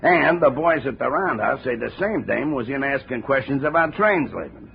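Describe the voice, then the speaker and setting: An actor playing a gruff, aging railroad detective who talks in heavy railroad slang, in a 1950s radio drama. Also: male voice, medium pitch, lively intonation, normal speed. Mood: briefing. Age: older. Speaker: railroad cop. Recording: dull old radio transcription with faint hiss.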